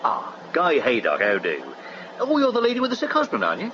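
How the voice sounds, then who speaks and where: An actor playing a jovial, flirtatious, roguish professor in a radio comedy drama, chuckling, hearty and patronising. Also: male voice, fairly high pitch, huge pitch swings, fairly quick. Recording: noisy, dull 1980s radio broadcast recording.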